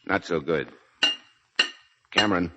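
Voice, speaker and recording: A man's voice: deep voice, takes it slowly, very noisy. A man acting as a stoic, fatherly military commander in 1940s radio drama, grave, terse and commanding. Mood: somber. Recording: muffled 1940s radio broadcast recording.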